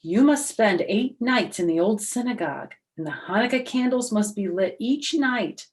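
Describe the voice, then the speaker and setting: A woman's voice: on the high side, lively intonation, moderate pace, pitched above the performer's own voice, lightly acted. A woman voicing a solemn village rabbi from a storybook, giving instructions with grave emphasis. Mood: warning. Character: solemn village rabbi, cautioning male elder.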